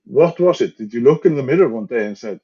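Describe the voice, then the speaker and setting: A man from Iceland hosts the conversation, his husky voice coming through a dull, lo-fi call. He starts and restarts his questions, feeling his way toward them. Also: mid-range voice, expressive, fast talker. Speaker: podcast host. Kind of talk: interview questions.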